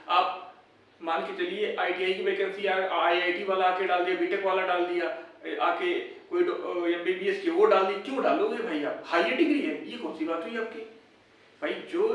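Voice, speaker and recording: A man's voice: high-pitched, a bit bright. A man, giving informal, blunt, argumentative commentary as an online host, full of rhetorical questions. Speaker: YouTube exam-update host. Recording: echoey room, distant mic.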